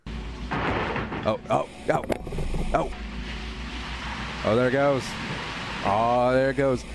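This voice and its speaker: A man hosting a casual podcast, talking quickly and reacting out loud as he watches videos. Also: mid-range voice, steady tone, background noise. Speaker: podcast host. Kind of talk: casual chat.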